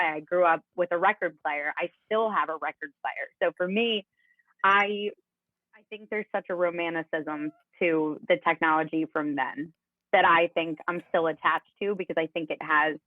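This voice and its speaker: A woman's voice: lowish voice, fairly even intonation, normal speed, no echo. A woman chatting casually as a co-host, her voice coming through thin, phone-like audio. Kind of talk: casual chat.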